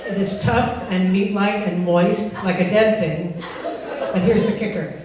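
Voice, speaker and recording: A woman's voice: medium pitch, steady tone, a little slow, a crowd in the background, natural voice. A woman voicing an obsessive, pushy, self-centred dog with pompous, insistent phrasing in a live comic story reading. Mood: earnest, coaxing. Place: live benefit event before a laughing audience. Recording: echoey noisy hall, far from mic.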